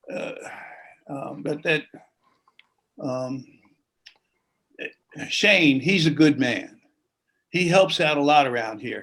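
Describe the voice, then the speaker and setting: An actor playing a hesitant older man in a table read, earnest and halting as he gives a cautious warning. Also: medium pitch, fairly bright tone. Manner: halting, hesitant, with ums and stammers.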